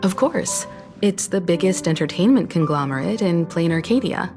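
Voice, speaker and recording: A calm, poised mentor. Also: female voice, lowish voice, moderate pace, music in the background. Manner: calm, composed, gently authoritative. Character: poised, mature woman mentor.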